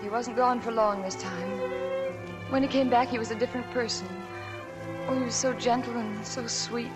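A woman's voice: on the high side, fairly even intonation, moderate pace, lightly acted. An actress playing an anxious young wife who pleads earnestly and narrates her story in flashback, in a 1940s radio drama. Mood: narrating. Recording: old radio broadcast, long reverb, faint music.

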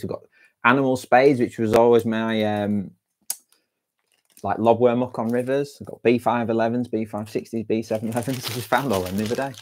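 A young man with a fairly low voice hosts a live stream from a small indoor room. He talks loosely and chattily, full of fillers and restarts. He speaks into an earphone headset mic. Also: slight background noise. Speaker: angling channel host. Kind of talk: live-stream chat reading viewer comments. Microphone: wired earphone headset mic.

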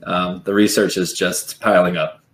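Deep-voiced man in an echoey room. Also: flat delivery. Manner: philosophical, qualifying, halting. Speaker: panelist. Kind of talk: panel discussion on a video call. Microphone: video call from a room. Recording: echoey room on video call.

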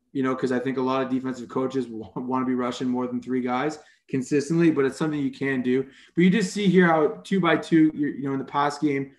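A man presents a football coaching clinic over a video call and walks through defensive schemes. His tone is casual and explanatory, full of small fillers. His voice sits in the middle of the range. The room around him sounds noticeably echoey. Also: mid-range voice. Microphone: video call microphone.

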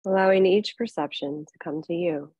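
Low-pitched woman guiding meditation, close and dry. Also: husky, no room sound, highs cut off. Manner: calm, unhurried, soothing, with long pauses. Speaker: yoga nidra meditation teacher. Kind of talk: guided relaxation meditation, instructing softly.

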